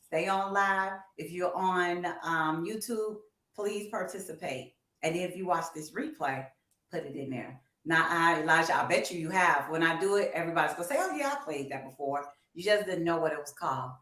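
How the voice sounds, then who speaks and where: A woman's voice: on the low side, moderate pace, a bit bright. A woman in her fifties co-hosting a casual livestream, talking animatedly and encouragingly straight to her viewers. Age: 50s. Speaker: co-host of a music talk livestream. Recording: roomy, echoey livestream sound.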